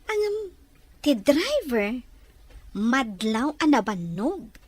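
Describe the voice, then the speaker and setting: A woman plays a nosy Filipino office lady with an Ilocano accent. Her voice is high and swings widely in pitch, and she draws her words out slowly, as in a radio drama. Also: sing-song, slightly rough, no room sound, exaggerated voice. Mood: gossipy.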